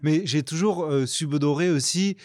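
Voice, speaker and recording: A man's voice: on the high side, moderate pace, a little mellow, no room sound, studio quality. A man conducting a conversational interview, attentively prompting his guest and rephrasing the answers.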